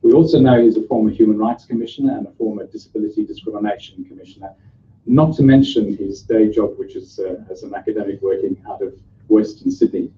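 A man emcees an event, introducing speakers from a podium with easy, joking warmth. His voice is low, the room is echoey, and the recording sounds dull. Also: fast talker. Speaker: master of ceremonies. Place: conference room, indoors. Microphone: podium mic, far camera.

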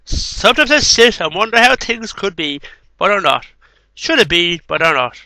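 A man's voice: high-pitched, big pitch swings, normal speed, pushed and bright, no echo, poor audio. A man in his thirties reading poetry as an amateur, with casual asides, into a headset mic in a small room. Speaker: amateur poetry reader and video maker. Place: small cluttered bedroom. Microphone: headset microphone.

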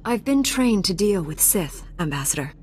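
A mid-pitched female voice from an actress as a heroic game knight. She is composed and confident, speaking with resolve. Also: very animated, normal speed, darkish tone, lightly acted. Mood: calm, resolute. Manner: resolute, assured. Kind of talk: video game voice acting.

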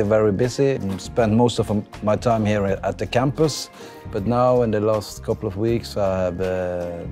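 Norwegian-accented man in his forties, mellow. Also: lowish voice. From Norway. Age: 40s. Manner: calm, thoughtful, many fillers and restarts. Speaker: football coach. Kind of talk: interview. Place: outdoor stadium stands.

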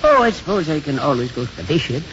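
An actor playing a humble old parish priest in a 1950s radio drama, rambling and halting as he talks. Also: fairly high pitch, sing-song, fairly bright tone. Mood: downcast. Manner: rambling, halting, self-deprecating. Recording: muffled, lightly noisy 1950s radio broadcast.

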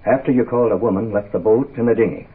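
A decisive, no-nonsense investigator's ally in an old radio play. He is curt and matter-of-fact, with a lowish, very rough husky voice on a tinny recording. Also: quick pace. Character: grim, decisive male detective's associate, Gray. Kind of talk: 1960s radio drama acting.

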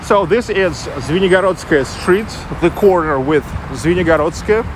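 A man's voice: high voice, normal speed. A man in his thirties with a Russian accent, guiding a live walking tour outdoors. He speaks English as a second language, loosely, hunting for words and correcting himself as he goes. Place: busy city street outdoors. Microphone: handheld selfie camera mic. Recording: outdoor street with loud traffic noise.

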